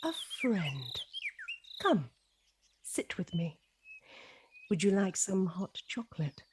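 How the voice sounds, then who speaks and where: A woman's voice: mid-range voice, big pitch swings, noisy background. A woman playing a proud, genteel reptilian lady of an ancient race in an audio drama, speaking formally and precisely. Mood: fond, teasing. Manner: formal, measured, precise, teasing.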